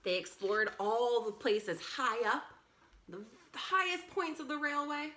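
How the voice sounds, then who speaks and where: A woman's voice: a little high, unhurried, sharp tone, slight background noise. A woman presenting a read-along story for young children, reading expressively and adding encouraging asides to her little listeners.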